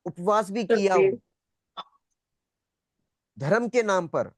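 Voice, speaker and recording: A man with a high-pitched voice teaches a spiritual class on a video call. He talks conversationally, repeating his points and asking questions to check that listeners follow. The sound is dry, with little room echo. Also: lively intonation, slow pace. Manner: conversational, repetitive, checking understanding often. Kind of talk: interactive lecture, questioning students.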